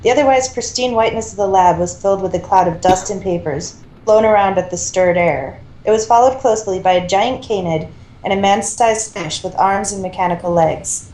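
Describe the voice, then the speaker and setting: A woman's voice: mid-range voice, a little fast, warm tone, slight background noise. Woman reading a story in an echoey room. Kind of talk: reading fan fiction aloud, narrating and voicing dialogue. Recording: roomy, echoing home recording.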